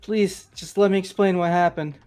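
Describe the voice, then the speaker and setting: A man's voice: high-pitched, steady tone, moderate pace, a little mellow, clean tone, soft background music, close to an everyday voice. A man playing a nervous young confessor. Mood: anxious. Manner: rambling, defensive storytelling. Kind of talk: fan voice acting of a game.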